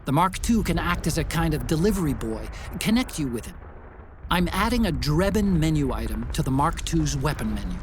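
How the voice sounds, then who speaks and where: Earnest tech guy, slightly high voice, explanatory game briefing, noisy background. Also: big pitch swings, fairly quick. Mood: helpful, earnest. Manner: clear, explanatory, helpful. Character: earnest, brainy male tech support ally. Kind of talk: scripted mission briefing over radio link.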